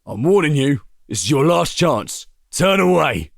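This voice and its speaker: A man acts out a brash, bawdy French army guard in an audio drama. The voice sits fairly high and swings widely in pitch, with a gravelly creak running through it. He is cocky and rough-mannered. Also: very animated, a little slow, dry recording, clean studio sound, full character voice. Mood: threatening.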